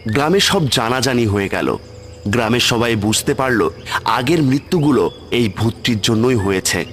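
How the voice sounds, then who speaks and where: A man's voice: mid-range voice, expressive, normal speed, slight background noise. A man telling a scripted horror story as the narrator of a cartoon tale. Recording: loud music with cricket sounds behind.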